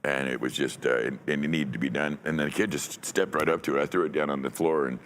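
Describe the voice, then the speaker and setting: A middle-aged man hosting a podcast from a dark studio set, talking on a studio mic in a rambling, profane way and laughing often. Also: a bass voice, steady tone, quick pace, slight background noise. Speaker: podcast host. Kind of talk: casual chat. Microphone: studio mic on a stand.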